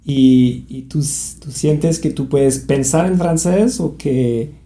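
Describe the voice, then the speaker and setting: A man's voice: fairly high pitch, takes it slowly, dark tone, reverberant. A man interviewing a guest, speaking hesitantly with frequent restarts. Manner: hesitant, many restarts and fillers. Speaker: interviewer for a language institute. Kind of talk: interview conversation.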